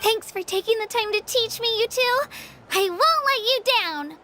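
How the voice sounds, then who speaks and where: A woman's voice: extremely high pitch, expressive, normal speed, bright, forceful tone, slight background noise, exaggerated voice. A woman voicing a small, earnest young girl in a video game, eager and humble, a little unsure of herself. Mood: earnest, determined.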